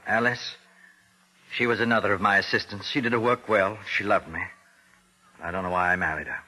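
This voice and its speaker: A man's voice: lowish voice, fairly even intonation, brisk, bright, forceful tone, poor audio. A man playing an obsessed, desperate ventriloquist, theatrical and bitter, in vintage radio drama style. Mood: guarded, weary. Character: obsessed, desperate male ventriloquist and puppet carver, tormented. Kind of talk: 1940s-50s radio drama acting. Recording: old radio broadcast.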